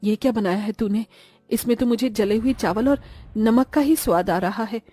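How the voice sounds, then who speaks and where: Woman acting a sharp-tongued cartoon mother, high-voiced. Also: normal speed, soft background music, natural voice. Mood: scornful. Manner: harsh, blaming. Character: scolding, sharp-tongued middle-aged mother.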